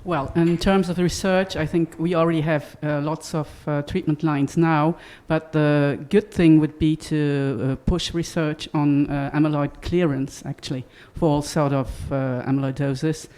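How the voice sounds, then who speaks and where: A man's voice: on the high side, level tone, moderate pace, hardly any pauses, a little mellow, clean tone. A man giving considered remarks as a panelist in a discussion.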